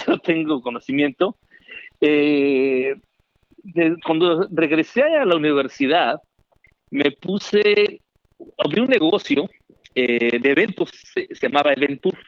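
A middle-aged Mexican man telling his story as an interview guest, animated and chatty with many fillers. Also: fairly high pitch, dry recording. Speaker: guest, founder. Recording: dry, dull remote call audio.

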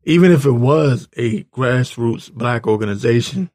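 A man with a raspy, hoarse voice giving an informal opinion rant as a commentator, halting, blunt and exasperated. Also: mid-range voice, animated, darkish tone. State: hoarse, clearing his throat. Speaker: political commentator reading tweets and articles.

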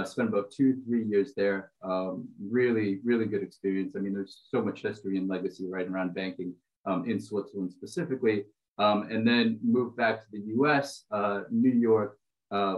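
A man's voice: lowish voice, lo-fi sound. A man in his thirties from Brazil with an American accent, a podcast guest talking casually with lots of ums and uhs. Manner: relaxed, many filler ums and uhs. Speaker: podcast guest, finance executive. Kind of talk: casual interview chat.

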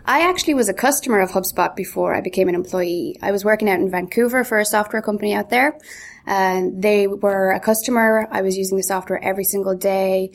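A woman from Ireland with a neutralized accent, answering interview questions in an upbeat, chatty way. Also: mid-range voice. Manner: chatty, upbeat, quick with a laugh. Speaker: interview guest, content marketing lead.

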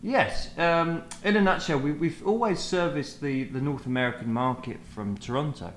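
A British man answers interview questions in a hesitant, conversational way, stopping to restart his sentences. His voice sits at an ordinary pitch for a man. The room is echoey, with a faint background hiss. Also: normal speed, a bit bright. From England. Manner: hesitant, many fillers and restarts. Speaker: guest, sales manager.

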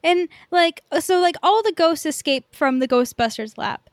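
A woman with a fairly high voice, chatting about films as a podcast co-host. Her talk is loose and informal, a bit sweary, and the sound is close and dry. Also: lively intonation, moderate pace, no echo. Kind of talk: casual movie chat.

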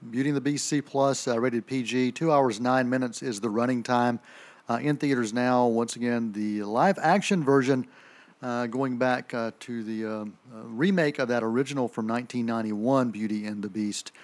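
A man hosting a radio movie review show, easygoing and quick to agree in casual chat. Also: mid-range voice. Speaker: radio host.

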